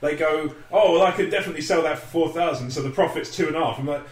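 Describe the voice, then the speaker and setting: A man's voice: on the high side, racing, crowd noise. A man co-hosting a podcast, chatting casually with dry, wry humor and frequent laughs. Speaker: podcast co-host. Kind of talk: casual chat. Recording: roomy, distant mic, faint noise.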